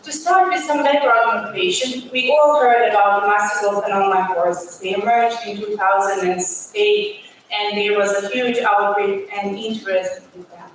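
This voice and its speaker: A woman's voice: medium pitch, lively intonation, normal speed. Echoey Bosnian-accented woman presenting research. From Bosnia and Herzegovina. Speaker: PhD student presenting research. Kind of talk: formal academic presentation. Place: large auditorium. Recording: very echoey hall, distant mic, faint noise.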